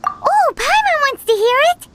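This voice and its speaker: A woman's voice: extremely high pitch, sing-song, unhurried, noisy background, exaggerated voice. A woman voicing a tiny, chirpy female fairy companion who talks about herself in the third person. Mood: excited. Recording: game audio with loud background music.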